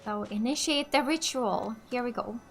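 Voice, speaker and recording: A woman's voice: fairly high pitch, normal speed, creaky, music in the background. A woman from Finland, a native Finnish speaker, giving casual let's play commentary in an offhand way. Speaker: gaming video host.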